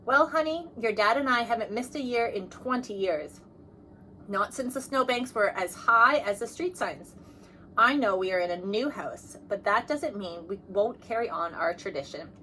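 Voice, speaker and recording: A woman's voice: medium pitch, expressive, moderate pace, sharp tone, a little hiss or hum, rough recording. A woman voicing a cheerful, reassuring mother in a children's storybook, speaking emphatically.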